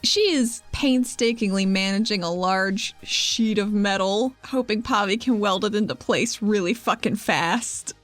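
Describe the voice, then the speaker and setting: A woman's voice: medium pitch, unhurried, hardly any pauses, dry recording, soft background music. A woman co-hosting a tabletop game podcast as a player, chatting playfully and casually narrating her moves.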